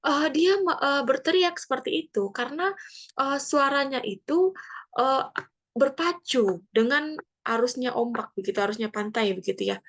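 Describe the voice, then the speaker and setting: A woman's voice: high voice, normal speed, fairly bright tone. A woman from Indonesia giving a classroom lesson, explaining step by step with frequent fillers and tag questions. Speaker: teacher. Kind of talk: classroom lecture. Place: near the coast.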